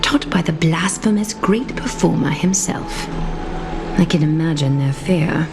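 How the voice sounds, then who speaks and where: A woman voicing a composed, regal female leader in a video game, speaking in formal, measured, eloquent phrases with dry disdain. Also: deep voice. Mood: dryly disdainful. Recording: music behind the voice.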